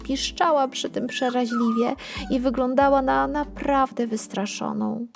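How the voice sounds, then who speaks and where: A woman narrating a scripted bedtime story for children in an expressive, unhurried storytelling manner. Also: sits high, vocal fry, soft background music. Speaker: storyteller reading a children's audio tale.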